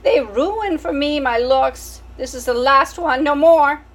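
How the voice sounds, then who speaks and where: A woman's voice: high voice, slow, voice pushed up. A woman voicing a vain, dramatic French mother mouse, speaking with a French accent in a sighing, self-pitying way.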